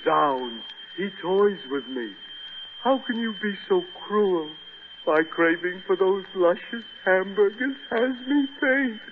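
A man's voice: high voice, lively intonation, slow, exaggerated voice. A man playing a pompous, food-craving cartoon moocher in 1930s radio style, florid and theatrical. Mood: wounded, indignant. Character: pompous, pleading, hamburger-craving cartoon glutton. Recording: tinny, hissy 1930s radio recording.

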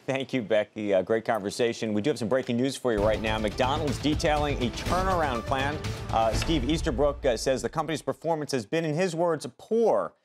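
A man's voice: medium pitch, music in the background. Man anchoring business news. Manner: quick, matter-of-fact. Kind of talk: breaking-news read.

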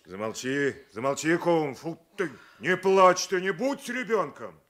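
An earnest village neighbour who pities and scolds in equal measure. His male voice sits a little high, sighing and folksy as he admonishes bluntly in a radio drama. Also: big pitch swings, measured pace, fairly bright tone. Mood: urging, scolding. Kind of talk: Russian radio drama acting.

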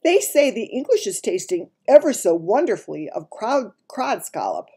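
A woman voicing a gentle, runty male giant from a children's storybook, talking in muddled grammar and made-up words. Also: medium pitch, big pitch swings, moderate pace, breathy edge, pitched above the performer's own voice. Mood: emphatic. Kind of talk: dramatised children's storybook reading.

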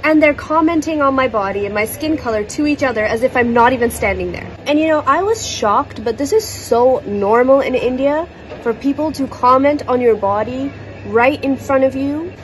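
A woman's voice: high-pitched, moderate pace, slight background noise, poor audio. A young woman whose first language is Hindi, telling a personal story to a phone held at arm's length on a balcony, candid and indignant. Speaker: content creator. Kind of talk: casual storytelling to phone camera. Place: outdoors on a city balcony.

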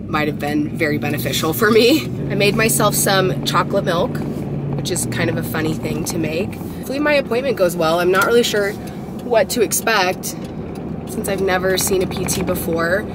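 Casting: woman in her twenties, raspy, low-ish voice, chatty vlogger in a moving car with road noise. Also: normal speed. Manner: rambling, upbeat, lots of "like". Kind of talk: casual chatty vlog talk to camera. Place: inside a car while driving. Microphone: camera mounted on the dashboard.

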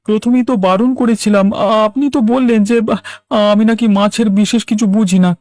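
A man's voice: high voice, fairly even intonation, a little fast, darkish tone. A man playing an aggrieved village fish seller in a cartoon, earnestly protesting and standing his ground. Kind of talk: Bengali cartoon dub.

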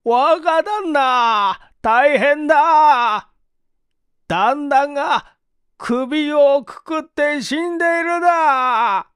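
A man voicing a panicked farmhand who speaks loudly in rustic dialect, in an audiobook reading. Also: extremely high pitch, no room sound, studio quality, voice pushed up, exaggerated voice. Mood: panicked shouting. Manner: drawn-out shouting in rustic dialect. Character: panicked rustic farmhand reporting a death to his master. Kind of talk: audiobook character voice.

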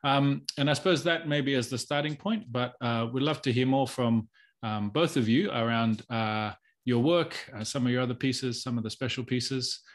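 A man's voice: mid-range voice, normal speed. A middle-aged man, hosting a casual interview and asking questions in a tentative, meandering way. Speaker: host.

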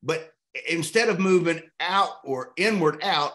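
A man in his fifties from East Texas leading a literature discussion over a video call, expressive and thoughtful, stretching out key words. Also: fairly high pitch, measured pace. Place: home room with art on walls.

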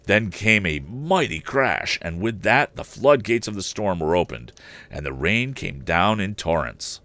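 A man's voice: deep voice, sing-song, unhurried, pushed and bright, no room sound. An older man narrating a storybook aloud as a livestream storyteller, close to the mic.

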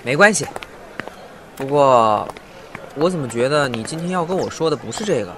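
A man playing a gentle, wistful young suitor who accepts rejection in a modern audio drama. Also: medium pitch, lively intonation, normal speed, natural voice. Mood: probing. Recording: clear outdoor background noise.

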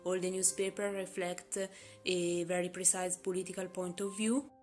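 Young Italian woman, low and flat. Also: normal speed, soft background music. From Italy. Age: young adult. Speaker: interviewee. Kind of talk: prepared video statement. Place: room indoors.

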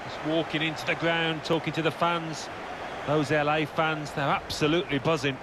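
A man acting as a male football pundit in a video game, high in pitch. He analyses play conversationally while very loud stadium noise runs behind him. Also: little pitch movement, normal speed, close to an everyday voice. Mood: analytical. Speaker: co-commentator, match analyst.

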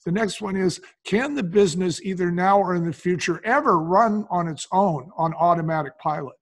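A man's voice: sits high, normal speed. An older man talking as an interview guest, explaining things and telling stories in a conversational way. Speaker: podcast guest, business founder.

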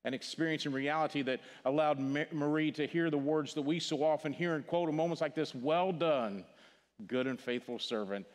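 An older man from Missouri with a Missouri accent, preaching a funeral sermon in a church sanctuary, earnest, repeating words and dropping to a hush on key phrases. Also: a little high, normal speed. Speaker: pastor. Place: large church sanctuary stage. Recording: long hall reverb from the church.

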